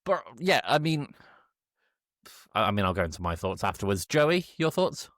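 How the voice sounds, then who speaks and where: A man's voice: on the low side, very animated, moderate pace, a bit bright, no room sound, clean studio sound. A man hosting a podcast, chatting casually as he reviews films. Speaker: podcast host. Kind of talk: casual film review chat.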